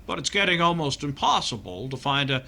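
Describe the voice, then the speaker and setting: Man playing dithering, nervous radio-comedy doctor, animated delivery. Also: mid-range voice, fairly quick, gravelly, full character voice. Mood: hesitant. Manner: hesitant, stammering, dryly comic. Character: nervous, dithering American doctor and husband in comic thriller. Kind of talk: old-time radio comedy acting.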